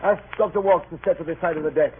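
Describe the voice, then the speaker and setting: An actor playing a stern ship's captain who also narrates, clipped and formal, in an old-time radio drama. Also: male voice, a little high, fast talker, natural voice. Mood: formal, courteous. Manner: clipped, formal, easily exasperated. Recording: scratchy, tinny old radio broadcast.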